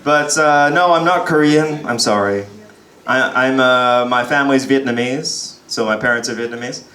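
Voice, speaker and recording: Young male comic from Texas, casual self-mocking patter, mid-pitched voice, echoey pub stage. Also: moderate pace, pushed and bright. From United States (Texas). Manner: chatty, self-deprecating, often breaks into laughter. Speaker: stand-up comedian. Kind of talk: stand-up comedy set. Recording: roomy pub sound with faint crowd murmur.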